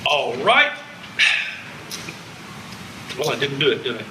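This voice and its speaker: A man's voice: medium pitch, very animated, slow. An older American man giving a public lecture with slides, dropping wry, jokey asides. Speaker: music college lecturer. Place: museum lecture room. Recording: echoey hall, distant, faint background noise.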